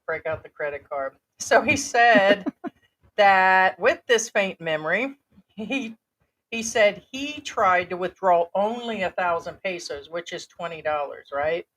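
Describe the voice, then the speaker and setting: A woman's voice: medium pitch, lively intonation, measured pace, fairly bright tone, low-quality recording. A woman from the United States co-hosting a casual chat, laughing often and reading a story aloud with comments. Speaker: co-host.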